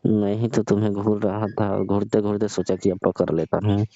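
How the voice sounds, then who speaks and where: A man's voice: on the low side, level tone, normal speed, warm tone, natural voice. A man playing a lecherous, pleading older father-in-law in a comedic phone-call skit, coaxing and insistent. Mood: brazen, suggestive. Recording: dull lo-fi phone-call style audio.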